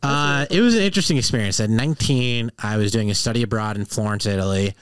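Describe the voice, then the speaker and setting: Man with a Boston accent, lowish pitch, dry close mic, animated storyteller, casual podcast guest. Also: quick pace, smooth, no room sound. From Boston, Massachusetts. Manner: animated storyteller, laughs through punchlines. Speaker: guest comedian.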